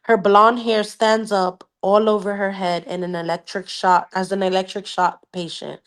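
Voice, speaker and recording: A woman's voice: mid-range voice, flat delivery, normal speed. A woman co-hosting a book club podcast, casually reading aloud with expression and swearing, sometimes interjecting in Spanish. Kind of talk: casual read-aloud with reactions.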